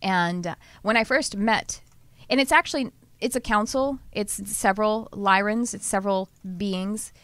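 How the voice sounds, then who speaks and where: Texan woman, podcast host, casual lively chat, frequent laughs, middle pitch. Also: clean tone, no echo. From Southern US. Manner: lively, laughs often, talks in quick bursts.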